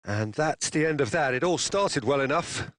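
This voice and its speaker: Male game commentator, dramatic football play-by-play, high pitched, very dry studio sound. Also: animated, normal speed, fairly bright tone, no echo. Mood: engaged, dramatic. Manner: dramatic, punchy phrasing.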